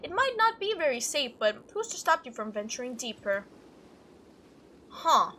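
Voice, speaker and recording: Bright, high-pitched woman playing games. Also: very animated, moderate pace, slight background noise. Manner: chatty, reactive, thinking aloud. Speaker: let's play host. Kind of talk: gaming commentary mixed with reading visual novel text aloud.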